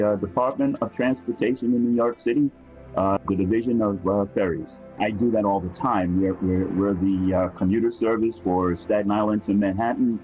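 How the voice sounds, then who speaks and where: A man who works on city ferries, answering interview questions in a halting way with many fillers. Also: low-pitched, highs cut off. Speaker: ferry worker.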